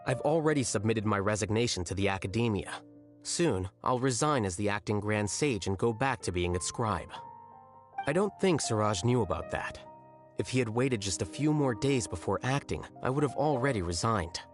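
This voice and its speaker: An actor playing an aloof young male scholar who talks in a calm, deadpan, cutting way. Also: lowish voice, normal speed, faint music behind, close to an everyday voice. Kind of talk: video game dialogue.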